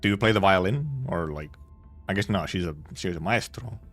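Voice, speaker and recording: A man's voice: deep voice, a little fast, fairly bright tone, faint music behind. A man giving casual live reactions as he streams a game, dropping in short muttered asides.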